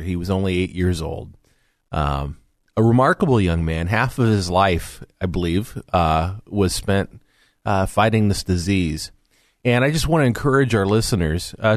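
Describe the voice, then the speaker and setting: Earnest low-voiced radio host. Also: male voice, dry recording, studio quality. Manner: earnest, rambling with restarts. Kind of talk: radio talk show. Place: radio studio.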